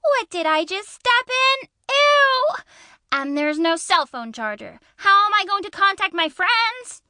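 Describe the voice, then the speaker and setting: Woman playing a shrill, whiny American teenage girl. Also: sing-song, unhurried, bright, forceful tone, no room sound, studio quality, heavily acted. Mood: disgusted whining. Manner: dramatic, complaining. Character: feisty whiny teenage American girl, squeamish cartoon character.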